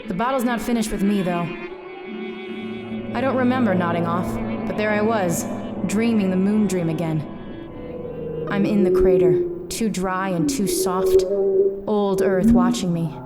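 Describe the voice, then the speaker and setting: An actress playing a hard-drinking, world-weary private eye in a noir audio drama, terse and wry. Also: female voice, mid-range voice, measured pace, pauses often, loud music behind the voice, natural voice. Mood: haunted, intense.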